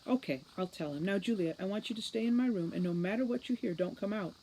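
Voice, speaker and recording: A woman reading a children's fantasy audiobook, voicing a brisk, composed female fairy captain in a coaxing, authoritative manner. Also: mid-range voice, dark tone, slight background noise, pitched above the performer's own voice, lightly acted, poor audio. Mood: coaxing, firm.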